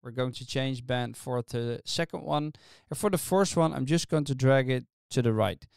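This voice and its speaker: A middle-aged man casually explaining software step by step as a tutorial host, speaking close into a studio microphone. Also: medium pitch, moderate pace, gravelly, clear, resonant tone, no echo. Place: small indoor studio, dry. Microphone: close studio microphone on a stand.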